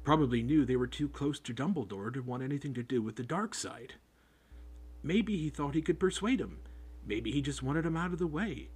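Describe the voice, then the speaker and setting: A man reading an audiobook, voicing a big rough-spoken groundskeeper who talks in homely, clipped speech. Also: mid-range voice, expressive, moderate pace, a little mellow, pitched above the performer's own voice. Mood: worried storytelling. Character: big rough-spoken male groundskeeper, gruff but kind. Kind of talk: audiobook character voice.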